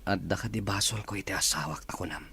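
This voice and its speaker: A man plays a confused, sulky husband in an audio drama, pining in a low voice. Faint noise sits behind him. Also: fast, creaky, bright, forceful tone, heavily acted. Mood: indignant. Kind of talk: Ilocano audio drama acting.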